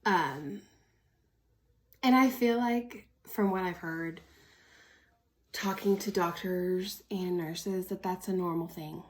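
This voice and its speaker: A woman's voice: fairly low pitch, slow, pauses often. A woman in her early thirties from Oklahoma with an American accent, telling a personal story in a casual, candid, chatty way as a vlogger. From Oklahoma, United States. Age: early 30s.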